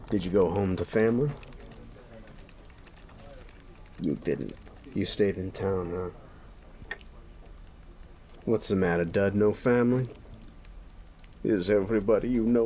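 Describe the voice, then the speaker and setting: A man acts out a sleazy male werewolf boss for an audio roleplay, speaking straight to the listener. The voice sits low and moves very slowly, drawling each jab. He is sardonic and bullying, with a gruff, flirtatious edge. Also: a little mellow. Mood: taunting.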